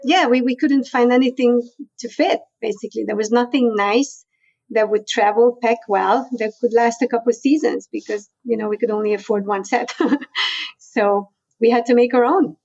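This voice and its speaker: A woman's voice: a little high, fairly even intonation, normal speed, darkish tone, no echo, highs cut off. Hungarian-accented woman telling her story. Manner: storytelling, chatty, frequent "you know" and laughs. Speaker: guest. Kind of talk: conversational interview.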